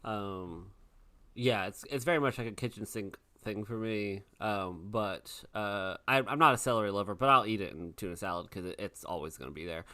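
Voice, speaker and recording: A man's voice: on the low side, normal speed, bright, forceful tone. A man chatting casually as a player on a tabletop gaming podcast. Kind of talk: casual chat.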